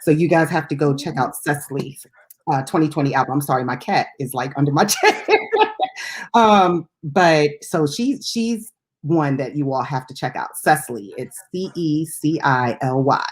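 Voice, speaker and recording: A woman's voice: sits low, normal speed. A woman co-hosting a casual music chat show as a DJ, talking in an informal, chatty and giggly way. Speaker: radio DJ and co-host.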